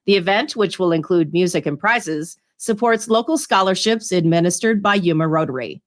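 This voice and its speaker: A woman reading community event announcements on the radio in an even, read-aloud way. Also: fairly low pitch, normal speed.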